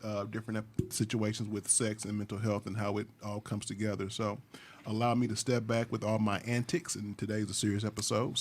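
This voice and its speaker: A man hosting a casual podcast, joking around and often restarting his phrases. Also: on the low side. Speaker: podcast host.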